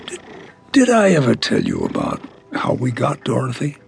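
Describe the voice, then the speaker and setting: A man playing a gentle, doting elderly grandfather in an audio drama, folksy, with halting exclamations and sighs. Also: mid-range voice, wildly swooping pitch, gravelly, a little hiss or hum, exaggerated voice. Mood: gently concerned. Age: older.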